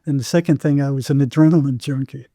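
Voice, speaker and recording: A man's voice: medium pitch, fairly even intonation, fast talker, dark tone, dry recording, clean studio sound. An older American man telling anecdotes casually as an interview guest, acting out bits of dialogue as he goes.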